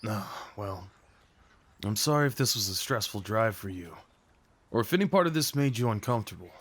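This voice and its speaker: A man playing a shy, sweet gentleman on a first date in an ASMR roleplay, speaking in an earnest, hesitant, tender way. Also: fairly low pitch, expressive, brisk. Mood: nervous, earnest. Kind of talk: ASMR roleplay, intimate scripted acting.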